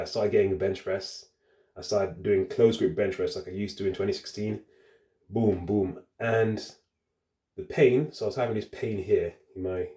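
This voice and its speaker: A man with a low, relaxed, dark-toned voice speaks off the cuff as a fitness vlogger. He thinks aloud in a halting, rambling way, circling back over phrases as he talks into his phone. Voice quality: deep voice. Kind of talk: casual rambling vlog talk to the phone camera. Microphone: handheld phone.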